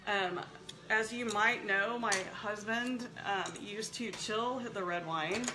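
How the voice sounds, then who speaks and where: A woman's voice: medium pitch, slow pace. A woman hosting a casual wine-tasting chat, talking in a rambling, friendly way with frequent laughs and asides. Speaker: show host.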